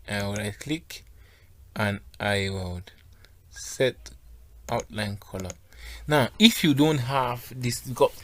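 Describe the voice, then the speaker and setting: A man giving a step-by-step software tutorial as a design instructor, talking instructionally and often checking that learners are following along. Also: mid-range voice, no echo.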